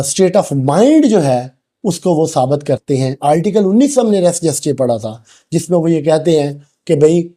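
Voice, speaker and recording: A Pakistani man delivers a lecture in a soft, mellow tone that sits a little high for a male voice. He is didactic, laying out each point in turn as a teacher would for exam students. English technical terms are mixed into his explanations. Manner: didactic, switches between Urdu and English legal terms. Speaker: law lecturer.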